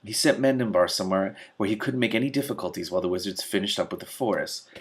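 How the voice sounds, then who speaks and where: A man's voice: lowish voice, fast talker, a little hiss or hum, close to an everyday voice. A man voicing a vain male storybook cat, breezy, digressive and self-satisfied. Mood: smug. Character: smug, vain male talking cat telling tales.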